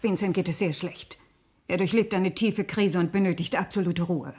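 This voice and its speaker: Husky, solemn, protective wife. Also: female voice, lowish voice, fairly even intonation, normal speed, a little mellow. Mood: grave, worried. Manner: insistent, melodramatic. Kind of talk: 1980s German radio crime drama acting.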